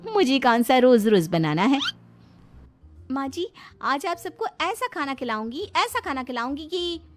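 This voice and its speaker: A woman plays a vain young daughter-in-law in a cartoon family tale. Her voice sits high and she comes across as proud, sulky and scornful of those around her. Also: big pitch swings, unhurried, faint music behind. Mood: boastful.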